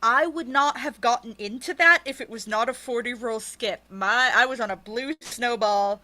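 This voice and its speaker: A woman's voice: a little high, moderate pace, sharp tone. A woman being interviewed, telling personal stories casually and bluntly in short, emphatic, repeated phrases. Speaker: podcast producer and writer being interviewed.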